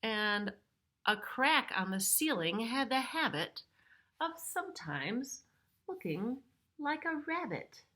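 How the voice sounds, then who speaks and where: A middle-aged woman reads a storybook aloud for preschool children. Her delivery is slow and her voice is bright. She explains things simply, as one does for young listeners. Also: fairly high pitch, sing-song. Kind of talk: picture-book read-aloud with explanatory asides.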